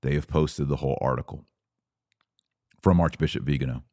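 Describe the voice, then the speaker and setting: A man's voice: extremely low pitch, fairly even intonation, fairly quick, darkish tone, dry recording, studio quality. A man hosting a religious podcast, explaining scripture in a didactic, emphatic way, drawing out key words and posing rhetorical questions. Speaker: podcast host.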